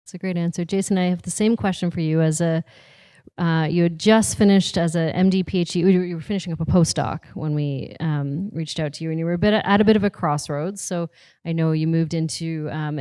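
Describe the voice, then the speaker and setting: A woman's voice: deep voice, steady tone, a little fast, warm tone, no room sound, studio quality. A woman moderating a live podcast panel, asking chatty, layered questions broken up with fillers.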